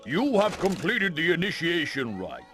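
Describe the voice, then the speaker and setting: Pompous chief, video game cutscene, deadpan asides, very animated delivery, music behind. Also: male voice, a little high, moderate pace, heavily acted. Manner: grand announcements undercut by deadpan asides.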